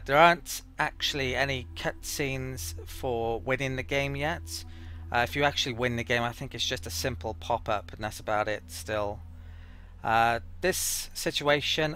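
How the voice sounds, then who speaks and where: A man's voice: medium pitch, fairly bright tone. A man giving casual gameplay commentary as a let's play host, thinking aloud in a hesitant, rambling way.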